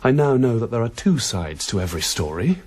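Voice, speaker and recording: An actor playing an earnest, defensive grown son in a radio drama, hesitant and tactful. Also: male voice, medium pitch, sing-song, fairly quick, darkish tone, husky. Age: young adult. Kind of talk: broadcast radio drama acting.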